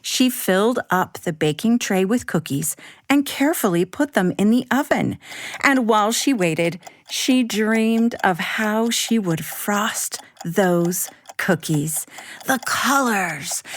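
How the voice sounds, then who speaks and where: A woman narrating a scripted children's bedtime story with expressive, deliberate, simple phrasing. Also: a little high.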